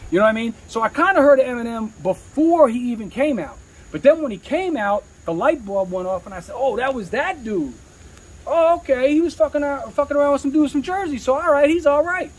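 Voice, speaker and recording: A man's voice: very high voice, lively intonation, brisk, husky, low-quality recording. A man talking candidly in an interview as a rapper, with slangy, streetwise speech peppered with swearing.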